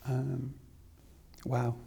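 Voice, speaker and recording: A man from Wales teaches spiritual philosophy to a room in a creaky, soft-edged voice. He builds his points deliberately, repeating phrases and posing questions. Long hall reverb surrounds his words. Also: mid-range voice, monotone. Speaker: spiritual teacher leading a talk. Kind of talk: spiritual discourse to a live audience.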